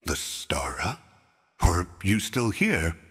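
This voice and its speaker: Casting: a man voicing a sorrowful aging king, deep husky voice with a forceful edge and wide swings in pitch, heard with long hall reverb. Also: very animated, heavily acted. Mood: grieving. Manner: slow, heavy reminiscing. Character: grieving aging king, stern yet sorrowful. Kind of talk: fan audio drama acting.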